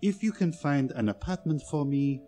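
Fussy Belgian detective played by a man, mellow-voiced. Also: a little high, lively intonation, moderate pace, soft background music, natural voice. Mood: pompous. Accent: Belgian French. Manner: precise, formal, pedantic. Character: fussy, proud, courteous Belgian male detective. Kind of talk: amateur radio play acting.

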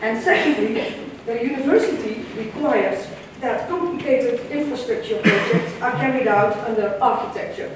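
A woman with a Dutch accent giving a conference presentation in a large lecture hall, measured and hesitant, with frequent ums and restarts. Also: a little high, noisy background. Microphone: room camera far from the stage. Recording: echoey lecture hall from far off.

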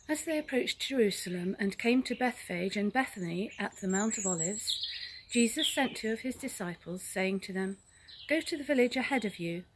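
A woman's voice: medium pitch, low-quality recording. An older woman reads a Bible passage aloud. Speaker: Bible reader. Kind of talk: scripture reading aloud. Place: outdoors in a garden. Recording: faint outdoor noise with birdsong. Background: birdsong.

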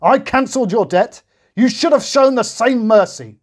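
A man voicing a booming, angry male king in a storybook tale, roaring and drawing out his words. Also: animated, normal speed. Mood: furious.

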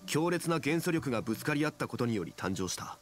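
A man playing a calm, dignified scholar of ancient gravity in an anime game, speaking in a composed, measured, formal way. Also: medium pitch, close to an everyday voice. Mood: calm, explanatory. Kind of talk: anime video game voice acting.

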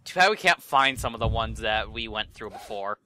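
A man's voice: fairly low pitch, lively intonation, fairly quick, bright, forceful tone, no echo. A man chatting casually as a gaming co-host, jumping in with joking remarks. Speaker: gamer co-host commenting while playing.

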